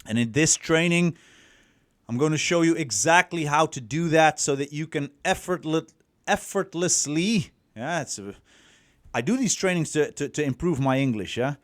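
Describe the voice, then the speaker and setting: A man's voice: on the high side, moderate pace, dry recording, studio quality. A Dutch man with a Dutch accent, whose first language is Dutch, giving a rambling, profane, casual coaching talk on a livestream, full of fillers and restarts. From Netherlands. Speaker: dating coach.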